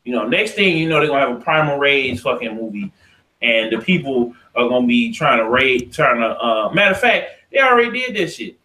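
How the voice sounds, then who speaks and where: A man's voice: mid-range voice, expressive, a little fast, sharp tone. An American man hosting a podcast, talking casually and opinionatedly in a slangy, profane, ranting manner. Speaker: podcast host. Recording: echoey untreated room.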